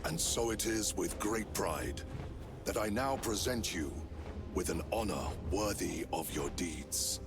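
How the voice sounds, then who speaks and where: A man's voice: low voice, expressive, slow, over music, exaggerated voice. A man playing a commanding, noble warrior chapter master in a video game cutscene, with grave, formal, oratorical delivery. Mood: proud, ceremonial. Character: commanding, proud Space Marine Chapter Master, stern and noble.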